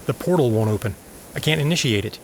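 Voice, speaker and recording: A man as earnest scientist husband, medium-pitched, intense, serious delivery, audio drama, noisy ambience behind. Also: expressive, fast talker, close to an everyday voice. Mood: urgent, grim.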